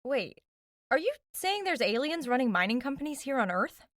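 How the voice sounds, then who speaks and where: A woman's voice: high voice, sing-song, normal speed, no echo, clean studio sound. A woman playing a skeptical, curious young woman in an audio drama, questioning in a casual, dryly incredulous way.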